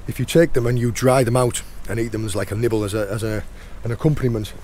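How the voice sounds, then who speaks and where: A middle-aged man with a hoarse, croaky voice, chatting casually outdoors on a rocky shore as he guides viewers through foraging. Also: medium pitch, steady tone, fast talker, darkish tone, a little hiss or hum. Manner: chatty, points things out as he goes. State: hoarse, croaky sore throat. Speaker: channel host and foraging guide.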